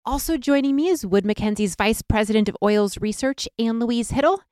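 A woman with a smooth, resonant voice hosts a podcast, reading scripted introductions in a polished, friendly way. The sound is close and dry, with no room around her. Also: a little high, brisk, a little mellow, no room sound. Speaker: podcast host.

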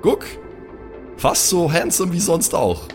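A man's voice: a little high, sing-song, takes it slowly. A man playing a tabletop role-playing game, improvising in slangy, colloquial banter with some swearing. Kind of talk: improvised in-character banter, casual chat. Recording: music under the voice. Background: background music.

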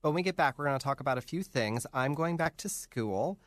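A man's voice: on the high side, animated, fairly quick, fairly bright tone, no echo, clean studio sound. A man hosting a casual podcast, chatty and laughing often, with plenty of fillers. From North Jersey. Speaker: podcast host. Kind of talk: casual chat.

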